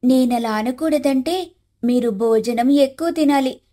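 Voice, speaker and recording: A spiteful young daughter-in-law, acted by a woman, with a high voice. She is curt and defiant, a sharp-tongued wife in a cartoon family tale. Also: measured pace. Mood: pushy.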